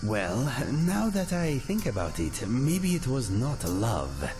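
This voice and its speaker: Wry, lively-pitched cat-folk adventurer. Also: male voice, slow pace. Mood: wistful. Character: male cat-folk adventurer companion, wry, reflective, friendly. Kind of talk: fantasy video game character dialogue. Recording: music under the voice.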